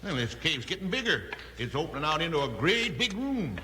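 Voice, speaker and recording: An actor playing a hesitant, uneasy western sheriff who stammers in a folksy American accent, in a 1950s radio drama. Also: male voice, a little high, big pitch swings, bright, forceful tone. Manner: stammering, halting folksy delivery. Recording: very noisy, reverberant 1950s radio recording.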